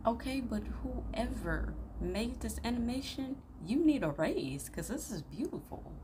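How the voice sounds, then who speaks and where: A young woman giving casual, expressive reaction commentary in an informal, admiring way. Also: on the high side, a little slow, background noise. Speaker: reaction video host.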